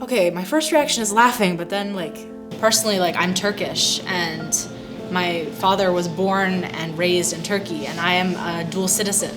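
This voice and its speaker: A woman's voice: on the low side, moderate pace. A young woman speaking in a casual, conversational way in a discussion. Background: music.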